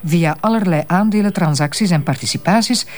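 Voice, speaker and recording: An actress with a Flemish accent playing a confident, earnest investigator explaining findings in a measured way in a radio detective drama. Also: female voice, fairly low pitch, close to an everyday voice. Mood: explanatory.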